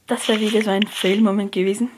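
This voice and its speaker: A young person, likely a teen, giving hesitant gameplay commentary, often correcting themselves and dropping to a near whisper. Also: female voice, mid-range voice, little pitch movement, fast talker. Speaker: let's player. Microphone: phone screen recorder mic. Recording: noisy lo-fi phone recording.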